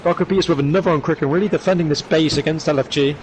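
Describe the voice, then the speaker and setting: A hoarse man calling a competitive shooter match play by play, his voice worn from shouting. He sits a little higher than most men and jumps into quick, eager bursts as the action heats up, with a faint hum of noise behind him. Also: poor audio. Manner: rapid excited calls with rising bursts. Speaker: esports commentator. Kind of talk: play-by-play commentary.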